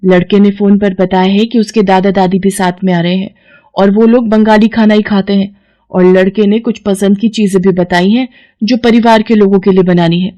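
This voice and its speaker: A woman's voice: mid-range voice, fairly even intonation, normal speed, mellow, highs cut off, lightly acted. A woman voicing a flustered, anxious middle-aged neighbour in a family story.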